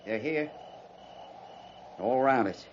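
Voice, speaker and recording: A man's voice: medium pitch, slow pace, lightly acted. Man playing a folksy, wry cavalry sergeant, muffled radio. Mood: uneasy. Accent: rustic American. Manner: drawling, sardonic understatement. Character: folksy, wry frontier cavalry sergeant, grumbling but loyal. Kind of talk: 1950s radio western acting. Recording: dull, noisy 1950s radio recording.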